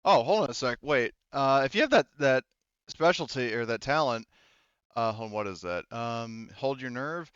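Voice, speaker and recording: A man's voice: mid-range voice, expressive, moderate pace, fairly bright tone, clear, resonant tone, no echo, dull audio. A man acting as game master of a casual tabletop game, explaining rules and thinking aloud as he goes.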